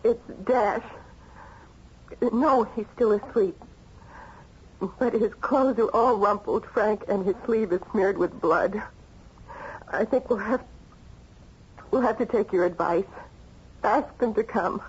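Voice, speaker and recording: A woman's voice: medium pitch, slow pace, pauses often, lightly acted. An actress playing an anxious, devoted woman in an old-time radio drama, on a muffled, noisy recording. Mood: worried. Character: anxious, devoted woman fretting over a troubled man.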